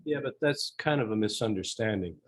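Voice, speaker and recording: Man board member, husky and worn-out voice, blunt, matter-of-fact. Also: fairly low pitch, expressive, brisk. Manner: matter-of-fact, correcting others. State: losing his voice. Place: town meeting room.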